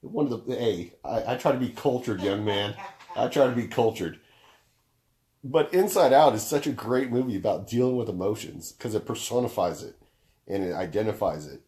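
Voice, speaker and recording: A young man with a deep baritone voice, talking casually on a podcast, laid-back and swearing freely as he explains through long stories. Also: lively intonation, normal speed, warm tone. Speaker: podcast co-host.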